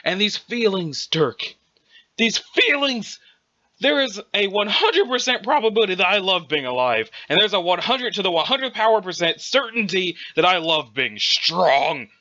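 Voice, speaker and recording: A man voicing a boastful, muscle-obsessed male sprite who shouts gleefully and mixes formal words with slang. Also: high voice, animated, normal speed, hardly any pauses, muffled recording.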